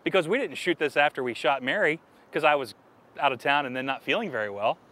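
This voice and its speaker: A man's voice: on the high side, lively intonation, fast talker, pushed and bright. A man hosting a local show, presenting to camera in a playful, jokey way. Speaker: show host.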